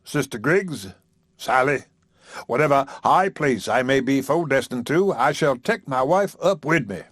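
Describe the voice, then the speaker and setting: A man's voice: mid-range voice, expressive, a little slow, fairly bright tone, dry recording, clean studio sound. A man doing a pompous drawling preacher. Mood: courting. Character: self-satisfied male preacher courting a widow.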